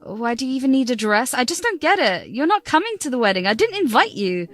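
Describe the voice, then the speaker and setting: A spoiled young bride snaps at the people who raised her, her voice pitched a little high and edged with scorn, as a woman puts her on. Soft music plays faintly underneath. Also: very animated, fast, no echo. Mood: dismissive. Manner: scornful, sharp.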